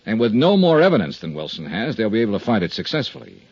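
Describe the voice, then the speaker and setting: An American actor playing a dogged, matter-of-fact insurance investigator in a 1950s radio detective drama, with a clipped, probing delivery. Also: male voice, fairly low pitch, very animated, fast talker, darkish tone, breathy edge, no room sound, exaggerated voice. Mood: grave, insistent. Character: hard-boiled, dogged male insurance investigator and narrator. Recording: dull vintage 1950s radio recording.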